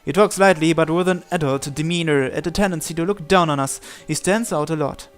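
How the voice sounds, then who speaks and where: A man's voice: a little high, fairly quick, faint music behind. A man reading visual novel narration and dialogue aloud.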